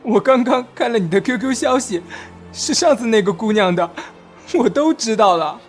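A young man acting a shy, insecure young man in love in a romantic audio drama, softly and earnestly. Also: extremely high pitch, lively intonation, normal speed. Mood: hurt, resigned. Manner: soft, hesitant, earnest. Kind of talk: Chinese audio drama acting with inner narration. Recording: music behind.

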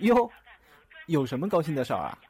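A young man playing a caring, gently teasing but proud boyfriend in a Mandarin radio drama. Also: on the high side, wildly swooping pitch, a little fast, crowd noise. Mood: teasing, coaxing. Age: young adult.

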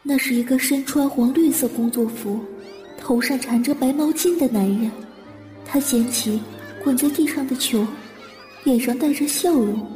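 A woman playing an earnest, anxious young woman in an audio drama, with soft, reflective delivery. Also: sits high, moderate pace, big-room sound, faint music behind, lightly acted. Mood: sombre, reflective. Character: determined, anxious young woman recounting her childhood trauma.

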